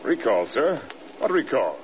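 An actor playing a stern, defiant air force general in a vintage radio drama, speaking in brisk, clipped military phrases. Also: male voice, mid-range voice, sing-song. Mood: defiant, firm. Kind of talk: 1940s-50s radio drama acting. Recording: muffled, hissy old radio recording.

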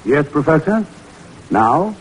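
An actor playing an eager, good-natured young lab researcher in an old-time radio drama, straightforward and respectful. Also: male voice, on the high side, sing-song, takes it slowly, slightly rough, heavily acted, low-quality recording. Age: young adult. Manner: straightforward, respectful, lightly bantering. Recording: vintage radio recording with hiss.

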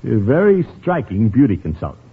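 An actor playing a pompous, sociable middle-aged uncle in a 1950s radio sitcom, theatrical and avuncular. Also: male voice, medium pitch, wildly swooping pitch, a little fast. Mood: pleased. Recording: tinny, noisy old radio recording.

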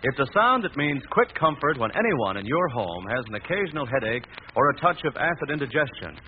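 A man's voice: medium pitch, big pitch swings, a little fast. An American man announcing a scripted 1940s radio commercial. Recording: tinny, hissy old radio broadcast.

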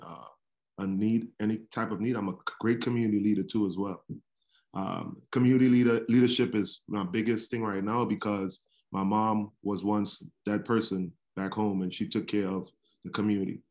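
A man from Trinidad and Tobago, a barber, answering interview questions in a halting way with many fillers. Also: lowish voice, a little mellow. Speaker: barber, podcast guest.

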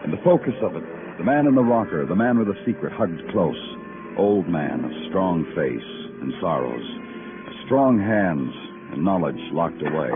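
Deep-voiced brooding radio noir detective. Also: male voice, very animated, moderate pace. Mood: somber, brooding. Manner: solemn, dramatic, clipped poetic phrasing. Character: brooding male police detective who narrates poetically. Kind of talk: 1950s radio noir drama narration. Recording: muffled vintage radio recording with music.

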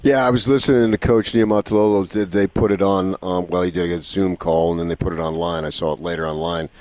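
A man's voice: sits low, fairly even intonation, fast talker, darkish tone, no room sound. A man hosting a sports radio show, talking casually and putting questions to a guest.

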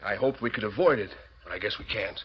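An actor in an old radio drama playing a settlement leader who speaks in a grave, deliberate way. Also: male voice, on the low side, husky. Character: sober male settlement leader, reluctant fighter. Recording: dull vintage radio recording.

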